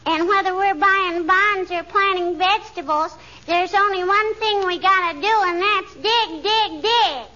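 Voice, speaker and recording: A woman's voice: extremely high pitch, unhurried, noisy background. A woman playing a chirpy, pushy little neighborhood girl with a childlike voice in 1940s radio comedy style. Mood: earnest, preachy. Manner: earnest, insistent, literal-minded. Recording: dull vintage 1940s radio recording.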